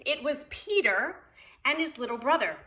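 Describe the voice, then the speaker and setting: A woman preschool teacher reading aloud to young children, speaking expressively with deliberate enunciation and explaining as she goes. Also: high-pitched, very animated, bright, forceful tone. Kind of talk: read-aloud for young children with counting lesson.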